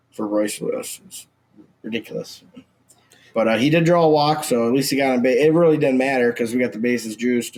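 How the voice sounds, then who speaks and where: A young man in his twenties, from Minnesota, co-hosting a casual sports chat. His voice is a little scratchy and his delivery is brash and loose, full of fillers and the odd curse word. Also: mid-range voice. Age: 20s. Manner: brash, swearing, rambling with many fillers. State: slightly scratchy voice. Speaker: podcast co-host.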